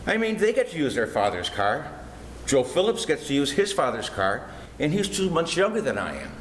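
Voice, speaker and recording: A man's voice: fairly high pitch, very animated, normal speed. A man playing an aggrieved, whiny fifteen-year-old son in an old-time radio comedy, protesting and full of self-pity. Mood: pleading. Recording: echoey stage recording with faint noise.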